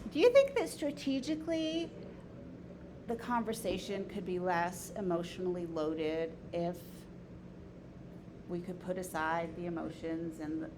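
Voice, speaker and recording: A woman leading an informal lecture and group discussion in an opinionated, emphatic way. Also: on the low side. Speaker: discussion leader, teacher. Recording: noisy room recording.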